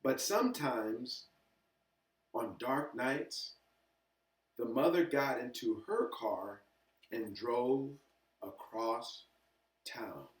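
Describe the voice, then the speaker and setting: A man reading a children's story aloud expressively and deliberately, pausing between short phrases. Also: medium pitch. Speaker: storybook reader. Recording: echoey room, distant from mic.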